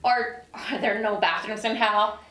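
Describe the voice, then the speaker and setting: A woman acting a sharp, guarded executive in a TV script table read, with a skeptical, sarcastic and commanding manner. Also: medium pitch, unhurried, far from the mic, lightly acted. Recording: roomy, off-mic, faint background noise.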